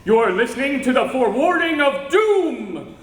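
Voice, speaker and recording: A man's voice: very high-pitched, sing-song, moderate pace, fairly bright tone, heavily acted. A man acting a grave, passionate young alien scientist foretelling doom in solemn, declamatory old-time radio drama style. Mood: grave warning. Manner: solemn, declamatory, melodramatic delivery. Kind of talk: 1940s radio serial acting recreated on stage. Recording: echoey hall recording with background noise.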